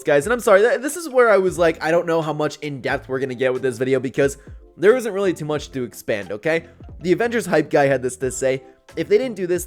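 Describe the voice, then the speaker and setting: A young man with a fairly high-pitched voice talks as a gaming commentator. His delivery is informal and chatty, as if hanging out with his viewers. Faint music plays behind him. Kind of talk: casual commentary reacting to read-out viewer posts.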